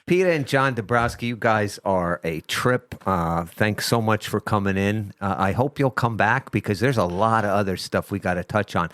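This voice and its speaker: Deep-voiced older New Jersey man. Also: moderate pace, hardly any pauses, fairly bright tone, no room sound, studio quality. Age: 60s. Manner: easygoing, chatty, lots of yeahs. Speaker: host. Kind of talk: casual interview chat.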